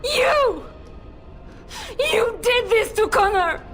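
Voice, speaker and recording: A woman's voice: very high-pitched, expressive, very slow, fairly bright tone, faint music behind, exaggerated voice. A woman voicing a noble lady in a video game, a desperate mother who pleads, rages and resolves to protect her son. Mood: furious. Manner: pleading, emotional, shifts to grave resolve. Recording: game score behind.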